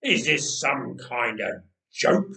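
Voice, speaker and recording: Guy doing a big mean giant for a story, drawly snarly roaring, slow, echoey and far off. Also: medium pitch, very animated, vocal fry, pushed and bright, pitched below the performer's own voice, full character voice. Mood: incredulous. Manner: drawling, menacing. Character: huge scornful Philistine giant warrior, bullying and furious. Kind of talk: dramatic storybook reading. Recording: echoey room, distant from mic.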